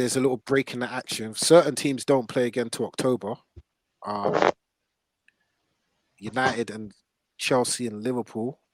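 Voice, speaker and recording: A male podcast host talks football in a casual, slangy way. His mid-pitched voice is recorded close and dry, and he leans hard on his points. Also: no room sound, rough recording.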